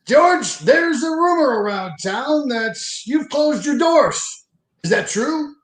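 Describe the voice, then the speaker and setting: A man's voice: very high voice, expressive, slow pace, full character voice. A man playing a scheming, rich old banker in a live radio play, imperious and calculating, with smug menace. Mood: needling. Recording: roomy, echoey sound.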